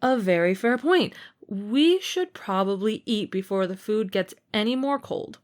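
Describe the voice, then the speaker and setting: A woman plays an older sister in an intimate audio roleplay. She is protective and affectionate, nagging playfully and speaking sincerely. Her delivery is unhurried, at a middling pitch. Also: lively intonation, close to an everyday voice. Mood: playful teasing. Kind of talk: amateur audio roleplay acting.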